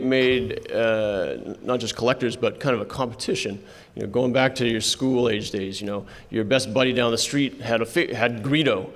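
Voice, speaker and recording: A man moderating a convention panel presentation, talking conversationally and handing off to co-presenters. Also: mid-range voice. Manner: conversational, lots of restarts, hands off to co-presenters. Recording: reverberant hall.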